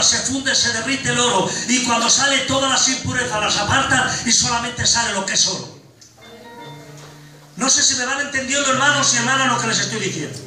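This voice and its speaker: A man's voice: very high voice, bright, forceful tone. A middle-aged man in Spain, preaching a sermon to a congregation with fervor, homely diminutives and humor. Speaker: pastor. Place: church hall with a congregation. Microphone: handheld mic, filmed from the pews. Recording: echoey hall from far off with music.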